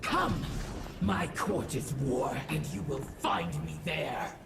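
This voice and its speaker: A woman playing an imperious, bloodthirsty alien war goddess in a video game, with a gravelly voice and grandiose delivery. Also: deep voice, lively intonation, heavy background noise, exaggerated voice. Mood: menacing challenge. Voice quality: gravelly, echoing. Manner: grandiose, slow declamation. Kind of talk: dramatic video game villain monologue. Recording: echoing, distant, music underneath. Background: game music.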